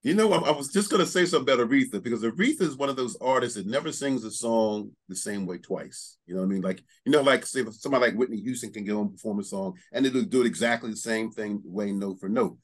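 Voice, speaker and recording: A man's voice: medium pitch, quick pace, hardly any pauses. A middle-aged man hosting a casual interview in a small studio, chatty and enthusiastic, speaking into a studio mic. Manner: chatty, enthusiastic, many "you know"s. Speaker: show host. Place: studio with banner backdrop. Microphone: studio mic on stand.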